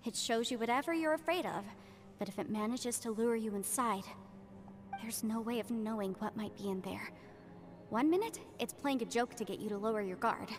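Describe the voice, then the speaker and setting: An actress playing a composed young woman strategist in a video game, speaking in an earnest, deliberate, analytical way. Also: a little high, over music, natural voice. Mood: pondering, grave. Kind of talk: video game cutscene acting.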